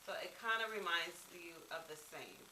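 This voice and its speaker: A woman's voice: lowish voice, lively intonation, normal speed, roomy sound, distant mic, noisy background. A woman reviewing makeup brushes in a casual, rambling way, with a slightly congested voice from a cold and many ums and restarts. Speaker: beauty vlogger.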